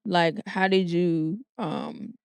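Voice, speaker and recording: Male podcast host, casual slangy interviewer, smooth voice, dry close mic. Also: high-pitched, fairly even intonation, extremely slow, a little mellow, no room sound. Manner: friendly, curious, slangy questions.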